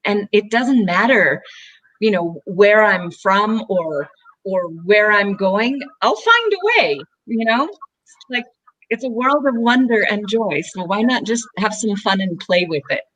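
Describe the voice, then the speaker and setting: A woman from Israel co-hosting a casual online interview over a video call, bubbly and quick to laugh, often restarting her sentences. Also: medium pitch, lively intonation, fairly bright tone, poor audio. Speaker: co-host.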